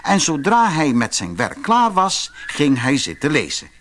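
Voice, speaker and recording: A man reading a scripted fairy tale as an audiobook narrator, with expressive storytelling delivery. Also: a little high, measured pace.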